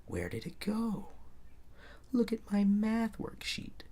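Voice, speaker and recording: A man voicing excited, astonished young schoolchildren exclaiming in a storybook. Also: high voice, takes it slowly, pitched above the performer's own voice, exaggerated voice. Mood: amazed.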